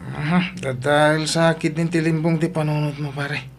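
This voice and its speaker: A man plays a concerned, questioning male friend in a radio drama. His voice is high for a man and carries a Filipino accent. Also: flat delivery, moderate pace, slight background noise, lightly acted. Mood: concerned, admonishing. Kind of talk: Ilocano radio drama acting.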